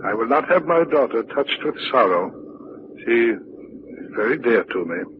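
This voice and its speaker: Male actor as commanding wealthy father, stubborn, clipped, lively pitch, vintage radio play, faint hiss. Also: normal speed, lightly acted. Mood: commanding, stern. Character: imperious wealthy father, stubborn and morbidly determined. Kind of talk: 1940s radio acting. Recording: dull, hissy 1940s radio recording.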